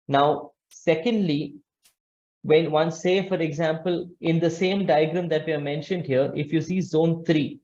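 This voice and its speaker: A young man with a fairly high voice presents a webinar over a video call. He explains step by step, restarting and correcting his phrases as he goes. The audio is slightly dull and muffled. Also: darkish tone. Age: young adult. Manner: halting, repeats and corrects himself. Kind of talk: explanatory slide presentation with examples. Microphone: video call, webcam framing.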